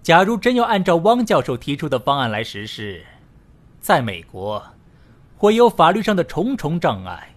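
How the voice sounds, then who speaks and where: A man's voice: fairly high pitch, sing-song, moderate pace. A man playing a worried, legalistic diplomat who speaks formally in an audio drama. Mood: firm, legalistic. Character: hesitant, legalistic, worried male American delegate.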